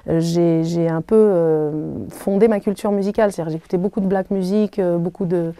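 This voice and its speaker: A woman in her thirties whose first language is Arabic, answering interview questions thoughtfully, with many pauses and restarts. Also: fairly low pitch, steady tone, mellow, clean tone, no echo. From Algeria. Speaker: singer being interviewed. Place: hotel room.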